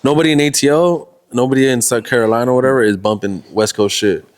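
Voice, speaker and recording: A man from the Los Angeles area hosting a casual interview, talking in loose, filler-heavy sentences. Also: medium pitch. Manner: relaxed, drawn-out phrasing, heavy filler, swearing. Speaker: interview host.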